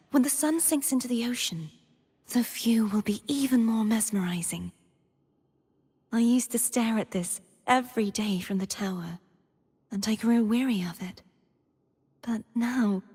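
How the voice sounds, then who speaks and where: A young woman voicing a gentle, wistful and earnest maiden knight in a video game, speaking softly in measured, formal phrases. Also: a little high, long reverb tail. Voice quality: breathy. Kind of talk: scripted game character voice lines.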